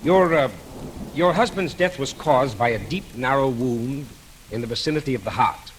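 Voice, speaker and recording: An actor playing a formal courtroom examiner in an old radio drama, deliberate and interrogating. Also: male voice, medium pitch, slight background noise, close to an everyday voice. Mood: stern, probing. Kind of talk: 1940s radio acting.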